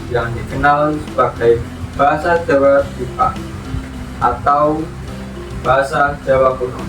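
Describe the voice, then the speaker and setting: A male podcast host reads a clear, informative script in a welcoming tone, his voice pitched a little high. He sounds set back from the mic in an echoey room, with guitar music running underneath. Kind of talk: scripted narration. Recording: echoey, distant with music bed.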